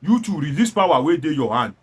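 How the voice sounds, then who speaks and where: A man's voice: a little high, very animated, brisk, breathy edge. A man with a Nigerian accent delivers opinionated commentary as a show host, emphatic and exhortatory, mixing in Pidgin and pressing points with rhetorical questions and repetition.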